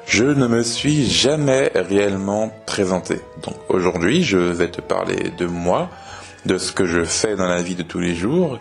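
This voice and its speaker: Podcast host, man in his twenties from France, very deep voice, calm and hesitant, speaks slowly for learners. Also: vocal fry. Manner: relaxed, steady, with frequent hesitations. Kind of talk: slow clear podcast talk for learners.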